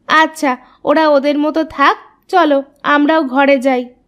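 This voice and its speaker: A woman playing a spirited, expressive village wife in a cartoon story. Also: sits high, slow pace. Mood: caring. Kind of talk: Bengali cartoon story voice acting.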